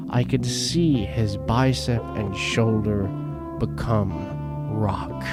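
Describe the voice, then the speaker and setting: A man reading a scripted story as a podcast host, in a dramatic, deliberate and emphatic way. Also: fairly low pitch. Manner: theatrical, deliberate, emphatic phrasing. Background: prominent background music.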